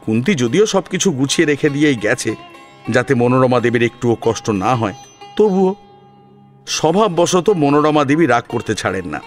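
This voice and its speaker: A male storyteller narrates a scripted tale. His delivery is animated, with a mid-pitched voice that moves freely. Instrumental music with plucked strings plays faintly behind him.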